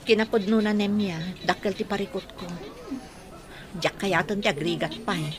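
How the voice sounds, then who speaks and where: A woman's voice: medium pitch, moderate pace, crowd noise, natural voice. A woman acting a worried, burdened wife in a Filipino radio drama, with a Filipino accent. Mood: anxious. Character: worried, burdened wife confiding her marital troubles.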